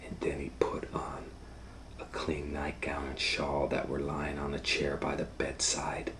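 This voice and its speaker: A man reading a children's story aloud as host, dramatically, moving between hushed and loud. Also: rumbling low, monotone, measured pace. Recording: faint background noise.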